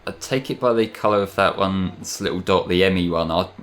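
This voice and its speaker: A man's voice: low-pitched, a little fast, fairly bright tone, a little hiss or hum. A man co-commentating a game casually, with a joking, wry manner and some swearing. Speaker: let's play co-commentator. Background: game music.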